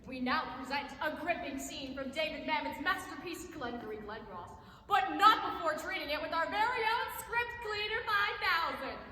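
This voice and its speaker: A woman's voice: sits high, lively intonation, normal speed, pushed and bright. A woman acting on stage as a gushing, over-polished infomercial saleswoman, with emphatic theatrical delivery. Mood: gushing, showy. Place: live stage in a large reverberant room. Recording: echoey hall stage recording, background hiss.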